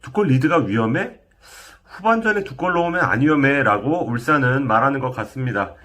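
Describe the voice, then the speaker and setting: Male football reporter, measured review delivery, mid pitch, frequent hesitations. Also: expressive, fairly bright tone. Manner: measured, with frequent fillers and pauses. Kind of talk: match review commentary.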